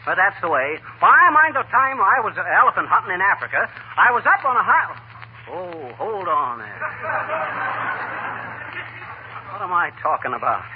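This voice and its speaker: A blustering, mischievous husband in a vintage radio comedy. His voice is high and swings animatedly, folksy and cocky. It comes through tinny and slightly hissy. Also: sing-song, brisk. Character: blustering, boastful, mischievous husband, stubbornly frank. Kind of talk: 1940s radio comedy acting.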